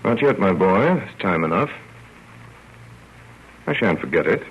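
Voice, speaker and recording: A fond, wry father narrates an old radio story in a very deep, measured, theatrical voice. The sound is muffled with faint noise. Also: big pitch swings, full character voice. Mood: earnest, fond.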